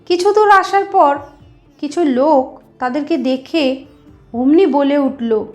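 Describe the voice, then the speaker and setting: A woman's voice: high-pitched, takes it slowly, darkish tone, soft background music. A woman narrating a moral story as a channel host, explaining things directly to her listeners.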